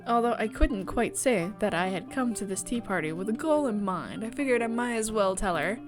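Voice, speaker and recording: Woman narrating over clearly audible music. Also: mid-range voice, animated, brisk. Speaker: streamer reading a visual novel aloud. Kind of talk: story narration with chatty asides.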